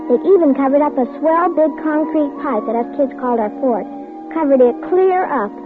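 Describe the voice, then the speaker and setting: A woman's voice: sits high, fairly quick, lightly acted. An actress playing an earnest, frightened little girl who narrates and begs to be believed, in a 1950s radio drama. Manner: breathless, pleading, near tears. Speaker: child narrator and lead. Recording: tinny old radio broadcast with music.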